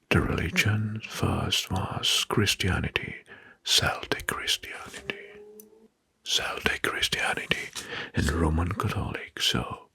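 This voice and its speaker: A man narrating history as an ASMR host in a breathy whisper, slowly and deliberately, repeating words, close to the mic. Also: deep voice, bright, forceful tone. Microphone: close microphone.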